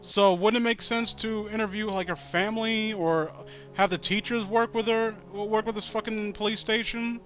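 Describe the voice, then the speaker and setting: A man's voice: high-pitched, fairly even intonation, normal speed, fairly bright tone, faint music behind. A man reading a story aloud with exasperated, incredulous, rambling and sweary commentary. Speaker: host reading and critiquing amateur horror fan fiction.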